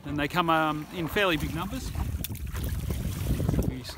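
A man's voice: fairly high pitch, measured pace. An Australian man chatting and encouraging anglers as he gives casual commentary as skipper on a fishing boat. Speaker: fishing charter skipper and host. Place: outdoors on a small boat at sea. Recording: noisy open-boat camera audio. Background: boat, wind and water noise.

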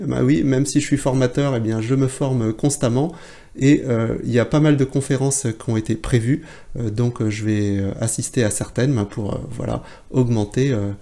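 Mellow-voiced man chatting to camera. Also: medium pitch. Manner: chatty, with many fillers and restarts. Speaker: photography blogger and trainer. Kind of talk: casual video blog to camera.

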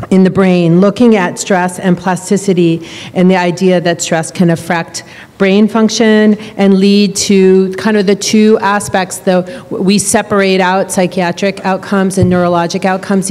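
A woman giving a relaxed, chatty introduction of the next speaker at a stand mic. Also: on the low side. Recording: reverberant hall.

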